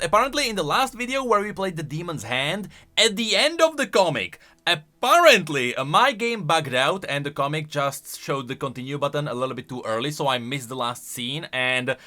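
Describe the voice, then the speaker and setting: A man's voice: on the high side, sharp tone. A man giving casual video commentary on game lore as a YouTuber, rambling along and stretching words for emphasis.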